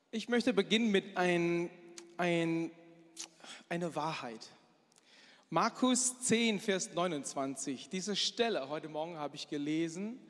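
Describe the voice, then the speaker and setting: A man from Malaysia preaches in a halting way, in short phrases with pauses and self-corrections. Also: sits high. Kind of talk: sermon with personal stories. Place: large church hall, live before a congregation. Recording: long hall reverb from a church PA.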